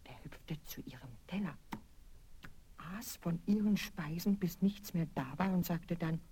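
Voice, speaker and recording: A woman narrating a scripted fairy tale for children in an expressive, deliberate storytelling manner. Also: on the low side, slightly rough. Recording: vinyl record transfer with music.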